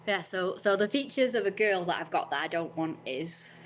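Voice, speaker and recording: A woman's voice: on the low side, expressive, moderate pace, a little hiss or hum. Blunt, rambling young woman. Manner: frank, rambling, swears freely. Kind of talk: casual vlog monologue.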